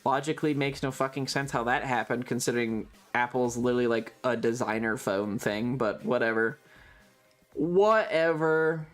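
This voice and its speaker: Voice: mid-range voice, moderate pace. Rambling, casual streamer over music. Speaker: livestream host. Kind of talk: casual chat with stream viewers.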